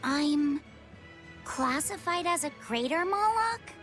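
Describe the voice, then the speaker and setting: A woman as a high-voiced, earnest young boy. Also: expressive, slow, fairly bright tone, faint music behind, heavily acted. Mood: unsure. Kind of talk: anime-style video game acting.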